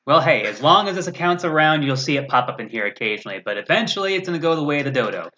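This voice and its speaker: A man's voice: on the high side, expressive, racing, pushed and bright. A man chatting casually as a guest on a gaming stream, wry and self-deprecating. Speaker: guest gamer. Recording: dull, lo-fi voice audio.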